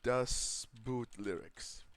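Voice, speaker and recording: A man's voice: medium pitch, extremely slow, fairly bright tone. A young man co-hosting a casual livestream, goofy and rambling, reading out viewer questions. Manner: goofy, rambling, sings silly jingles. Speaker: livestream co-host.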